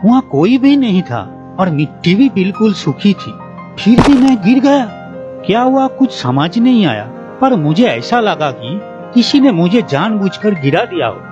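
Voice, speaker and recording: A man playing an uneasy, bad-tempered village father in an animated story, speaking with dramatic emphasis. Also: sits high, a little slow. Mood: puzzled, uneasy. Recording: dull lo-fi studio track, faint music.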